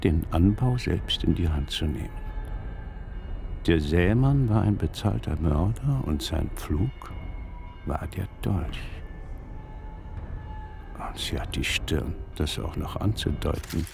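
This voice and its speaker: A man playing a learned, brooding magister in a German radio drama, speaking deliberately and rhetorically. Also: low-pitched, vocal fry, darkish tone, a little hiss or hum, exaggerated voice. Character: learned, brooding older magister in old Italy.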